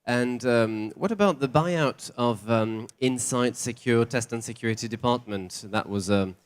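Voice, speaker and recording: A man's voice: medium pitch, measured pace, clear, resonant tone. A man interviewing guests at a trade show, asking short questions and giving brief acknowledgements.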